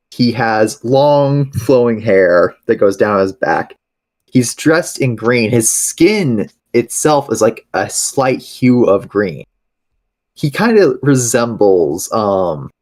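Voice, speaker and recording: A man casually describing his game character as a player, informal and halting. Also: medium pitch, darkish tone.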